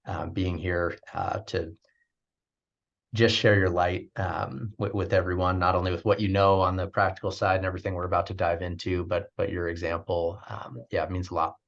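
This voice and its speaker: A man's voice: sits low, level tone, moderate pace, gravelly, highs cut off. A man hosting a business webinar over a video call, speaking sincerely with frequent fillers and restarts. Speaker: webinar host. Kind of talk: webinar talk.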